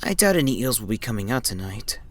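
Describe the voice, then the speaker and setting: An actor playing a wary, principled former police officer on the run in an audio drama, guarded but casual. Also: male voice, fairly low pitch, sing-song, fast talker, a little hiss or hum. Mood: polite, guarded.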